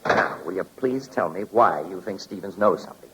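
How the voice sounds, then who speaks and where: An actor playing a brisk, determined newspaper crime photographer in a 1940s radio drama, with clipped, informal tough talk and a husky voice. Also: male voice, low voice, lively intonation, a bit bright. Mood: brisk, probing. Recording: dull, lo-fi 1940s radio recording.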